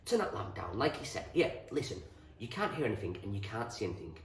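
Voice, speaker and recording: A man's voice: on the low side, sing-song, fast talker. A man voicing a blunt, chatty young boy in a storybook, frank and colloquial, full of questions. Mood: chatty, uneasy. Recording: roomy echo, distant from the mic.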